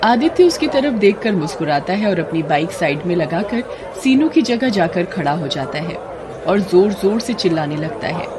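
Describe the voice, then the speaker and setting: A woman's voice: lowish voice, very animated, moderate pace, crowd noise, noisy background. A woman reading scripted narration for a cartoon story as the storyteller.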